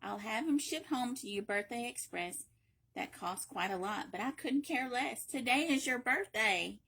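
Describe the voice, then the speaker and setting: A woman reading a children's picture book aloud in a playful, expressive way, with emphatic repetition and chatty asides. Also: on the high side, moderate pace, vocal fry. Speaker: library read-aloud reader.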